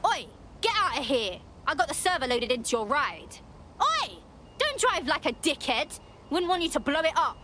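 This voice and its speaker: A woman's voice: sits high, big pitch swings, moderate pace, pushed and bright, a little hiss or hum, exaggerated voice. A woman voicing a blunt, colloquial young British rebel recruit in a video game. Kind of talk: video game cutscene acting.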